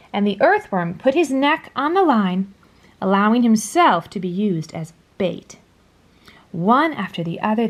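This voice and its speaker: Slow, expressive woman reading a storybook. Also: fairly high pitch. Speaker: storybook reader. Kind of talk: expressive children's read-aloud narration.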